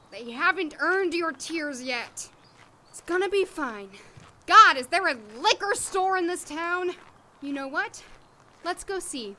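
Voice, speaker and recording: A woman's voice: sits high, sharp tone, a little hiss or hum, exaggerated voice. A woman acting a flustered, sarcastic young doctor in an audio drama, rambling wryly and easily indignant. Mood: upset, self-soothing. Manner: rambling, wry muttering to herself.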